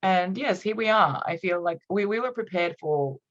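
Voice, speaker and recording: Guest, 30s woman, Romanian accent, low voice, reflective and halting, muffled call audio. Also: animated. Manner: reflective, hesitant, laughs often. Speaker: podcast guest, copywriter. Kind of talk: conversational interview.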